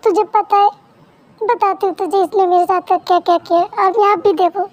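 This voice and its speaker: Girl doing a pouty young wife, super high voice, overdramatic, homemade fan dub. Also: steady tone, normal speed. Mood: sulky. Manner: whiny, melodramatic complaining. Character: upset young wife, sulky and dramatic. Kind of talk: amateur fan-dub voice acting.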